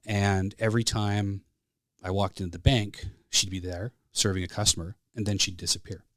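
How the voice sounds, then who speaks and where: A man's voice: lowish voice, steady tone, moderate pace, dry recording. A plain-spoken man in his fifties from Alberta with a Canadian accent, chatting casually and telling stories as a podcast guest in a studio. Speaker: podcast guest, flight service specialist. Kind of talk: casual conversational storytelling.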